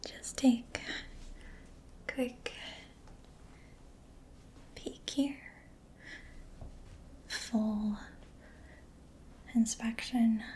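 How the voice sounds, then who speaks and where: A woman speaking in a soft, breathy whisper for an ASMR roleplay, playing a gentle, reassuring mechanic, close to the microphone. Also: on the high side, steady tone, very slow, pauses often.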